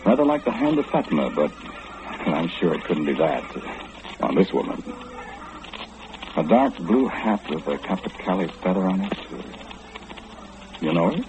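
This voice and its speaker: Deep-voiced, pompous old-radio gentleman. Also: very animated. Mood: earnest, probing. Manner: formal, pompous, theatrical, deliberate enunciation. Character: courteous, eccentric all-knowing gentleman, pompous and precise. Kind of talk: old-time radio drama acting. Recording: muffled, noisy old radio recording.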